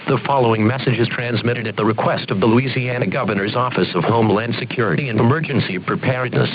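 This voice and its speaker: Dull, hissy, fast male alert announcer. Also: mid-range voice, fairly even intonation, a loud crowd. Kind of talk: formal scripted emergency bulletin. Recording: dull, hissy weather radio sound.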